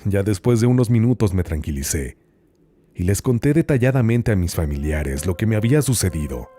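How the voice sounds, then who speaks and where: A man narrating horror stories as host, in a slow, hushed, dramatic reading with long pauses. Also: on the low side, sing-song.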